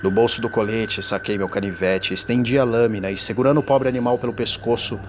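A man playing a tormented condemned man in a gothic horror audio drama, confessing in a formal, theatrical and deliberate delivery. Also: fairly low pitch, steady tone, noisy background, close to an everyday voice. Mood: dark, remorseful. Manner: theatrical, deliberate, ornate diction. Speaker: narrator. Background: music.

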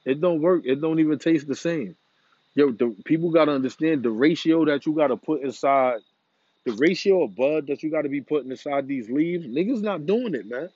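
A man's voice: on the high side, brisk, a little mellow, slightly rough, no room sound, dull audio. A man in a casual, rambling monologue, laid-back and profane, full of slang. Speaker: vlogger. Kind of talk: casual monologue about smoking.

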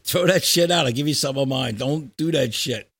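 A man's voice: mid-range voice, moderate pace, fairly bright tone, slightly rough, no echo. A middle-aged man hosting a podcast, chatting casually and bluntly about music with plenty of swearing. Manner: blunt, salty, profane. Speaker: podcast host. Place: remote Skype call, audio show.

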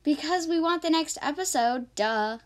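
A woman's voice: sits high, animated, moderate pace. A female co-host of a homemade podcast, chatting informally.

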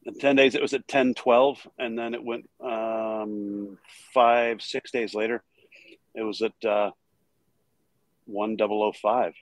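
A male brewmaster guest answering questions casually, pausing often to recall details. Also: lowish voice, flat delivery, dry recording, poor audio. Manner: hesitant, lots of uh and um while recalling numbers.

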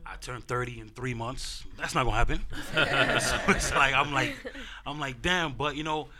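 A man in his late twenties hosting a casual group discussion, talking in an animated, slangy way. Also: mid-range voice, moderate pace, a loud crowd. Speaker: podcast host moderating a panel.

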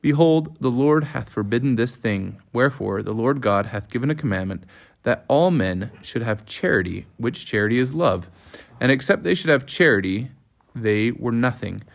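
A man reads scripture aloud in a mid-range voice. There is a clear creak and gravel in the tone. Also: medium pitch, lively intonation, moderate pace. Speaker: family member reading scripture aloud.

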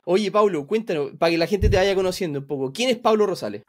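A man's voice: high-pitched, lively intonation, quick pace. A man, hosting a podcast and asking chatty questions. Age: 40s. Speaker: podcast host. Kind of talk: casual interview talk.

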